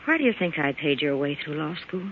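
An actress playing a tough, possessive nightclub boss wounded in love, with a sardonic, melodramatic delivery in old-time radio style. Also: female voice, low voice, very animated, fast talker. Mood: reproachful. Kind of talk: 1940s radio drama acting. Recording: dull, slightly noisy old radio recording.

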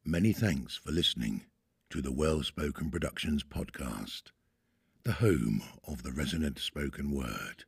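A man hosting a podcast as a voiceover artist, giving scripted poetic narration in a measured, formal, theatrical manner. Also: rumbling low, husky, dry recording, clean studio sound. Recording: solo flute music behind.

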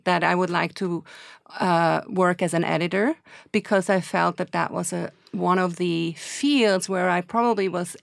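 A woman, a film editor answering interview questions with frequent pauses and restarts. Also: fairly low pitch, fairly even intonation, gravelly, no room sound, clean studio sound. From Switzerland. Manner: hesitant, many fillers and restarts. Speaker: film editor and documentary filmmaker.

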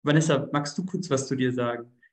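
A man's voice: mid-range voice, a little fast. A man hosting a podcast, putting casual interview questions to guests. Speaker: podcast host.

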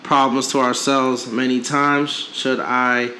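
A laid-back male podcast co-host with a bright, sharp tone speaks in slangy casual chat, reading questions and adding quick agreement. A faint hiss sits under his voice. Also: mid-range voice, little pitch movement.

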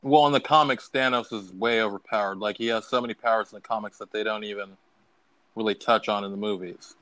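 A man's voice: fairly low pitch, steady tone, fast, pushed and bright, no echo, rough recording. A man hosting a podcast, chatting casually and informally about movies with some swearing. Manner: informal, profane. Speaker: podcast host. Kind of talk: casual movie review chat.

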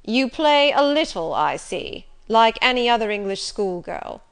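A woman reading the lines of an imperious, brusque gentleman who questions and gives orders in a sardonic, commanding manner. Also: fairly high pitch, very animated, unhurried, clean tone.

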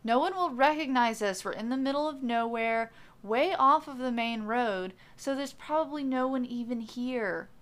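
A woman's voice: on the high side, normal speed, a bit bright, natural voice. Breezy young forest sprite, as a woman reads her. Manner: breezy, insistent.

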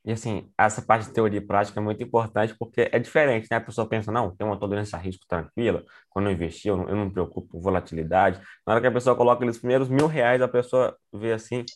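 A man hosting a podcast, chatting informally and briskly with his guest and signing off to listeners. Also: fairly low pitch, fairly even intonation. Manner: brisk, informal, sign-off phrases. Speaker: podcast host. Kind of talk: casual interview chat.

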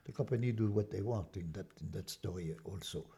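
French-accented older man, soft mellow voice. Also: sits low, a little fast, gravelly. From France. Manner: emphatic, repeats words, drops into quiet asides. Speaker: interview guest. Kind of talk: conversational interview.

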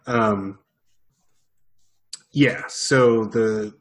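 Guy on a podcast with a lowish voice, tells a story, keeps fixing himself, swears now and then. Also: fairly even intonation, very slow. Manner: storytelling, self-correcting, casual swearing. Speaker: podcast co-host. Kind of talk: casual podcast chat.

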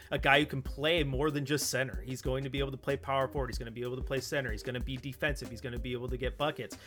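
A man's voice: medium pitch, fairly bright tone. A man in a casual sports podcast discussion, emphatic and opinionated, repeating points for stress. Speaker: podcast co-host and basketball analyst.

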